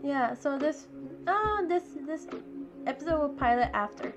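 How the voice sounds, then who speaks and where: A teenage girl co-hosting a podcast, chatting casually, halting and often giggling. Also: high voice, animated, over music. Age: teens. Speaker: podcast co-host. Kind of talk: casual chat.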